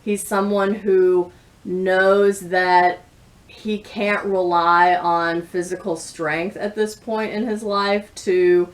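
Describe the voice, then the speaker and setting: A woman giving a casual, reflective book chat, speaking in a measured, bookish way. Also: medium pitch, level tone, recorded from a distance. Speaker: book reviewer.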